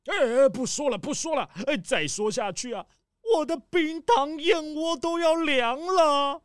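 An actor playing a pompous, gluttonous rich male crocodile boss in a children's audio drama, showy, with drawn-out exclamations and sighs. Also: very high-pitched, no echo, studio quality. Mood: pompous, boastful.

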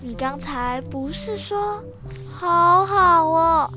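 A dutiful schoolgirl big sister in a radio play, acted by a girl. Her voice is high and childlike, her delivery slow and bossy, with music behind it. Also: lively intonation, heavily acted. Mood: reasoning. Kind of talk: children's radio drama acting.

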